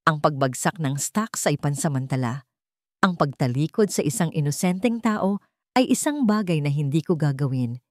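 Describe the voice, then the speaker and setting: A woman's voice: sits low, animated, moderate pace, no echo, clean studio sound. Woman reading as a cold, commanding billionaire man. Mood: cold, firm.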